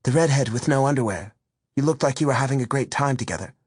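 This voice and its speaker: A man's voice: medium pitch, fast, a little mellow, husky, dry recording, studio quality, pitched above the performer's own voice, natural voice. A man voicing a jealous older woman who is furious, biting and sarcastic, in a storybook reading. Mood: angry, sarcastic.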